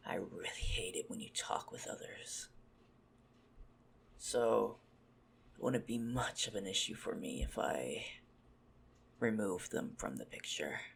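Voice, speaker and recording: A man playing a possessive, menacing, smug vampire stalker in an ASMR roleplay, haughty and teasing in a deliberate murmur. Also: lowish voice, gravelly, a bit bright, heavily acted. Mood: menacing, jealous.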